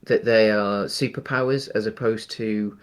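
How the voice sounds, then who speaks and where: A man's voice: on the low side, fairly even intonation, measured pace. A man co-hosting a casual film discussion, with a tentative, rambling way of talking. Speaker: podcast co-host.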